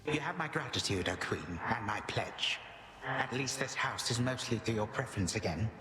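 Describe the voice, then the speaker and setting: A man's voice: medium pitch, animated, lightly acted. Man as courtly old time titan, echoing. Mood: humbled, courtly. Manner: formal, archaic, measured phrasing. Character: ancient, repentant titan of time, courtly grandfather. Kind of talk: video game dramatic acting. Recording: echoey hall, noisy ambience behind.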